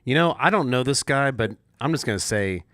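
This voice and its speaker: A man's voice: medium pitch, lively intonation, brisk, fairly bright tone. A man co-hosting a podcast with casual, dryly joking banter. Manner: dry, joking. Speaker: podcast co-host.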